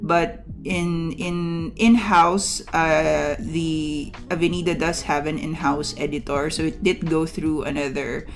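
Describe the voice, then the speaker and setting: Low, unhurried woman streamer. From Philippines. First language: Tagalog. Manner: rambling, halting, many fillers and restarts. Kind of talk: casual chat to stream viewers. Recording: faint music behind.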